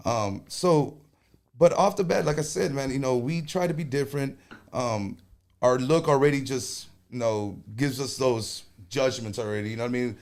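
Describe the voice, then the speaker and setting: A man speaking, a conjunto band leader chatting casually as a guest, animated and joking, with quick bursts. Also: mid-range voice. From Texas. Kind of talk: casual interview chat.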